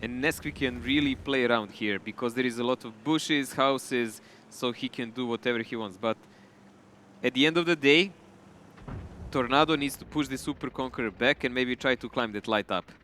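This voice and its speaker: A man's voice: mid-range voice, normal speed, sharp tone, slight background noise. A man co-casting a live esports match, talking in a chatty way with loose phrasing and restarts. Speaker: esports co-caster.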